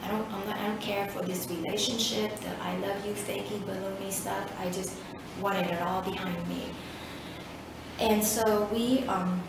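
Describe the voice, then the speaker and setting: A woman's voice: medium pitch, steady tone, vocal fry. Reflective woman in her fifties, echoey room. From Vietnam. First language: Vietnamese. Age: 50s. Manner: hesitant, reflective storytelling with many pauses. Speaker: interviewee. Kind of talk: oral history interview. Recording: echoey room, distant mic, background noise.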